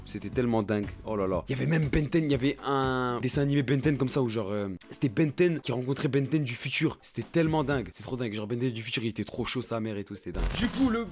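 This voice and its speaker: Young guy talking super fast, slangy ranking commentary, repeats himself, music behind. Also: mid-range voice. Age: young adult. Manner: excitable, repetitive, blunt. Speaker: video creator presenting a tier list.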